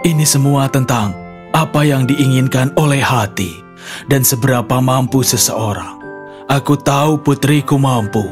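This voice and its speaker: A man's voice: mid-range voice, natural voice. A man voicing a loving, fair-minded father in a children's fairy tale, tender and encouraging.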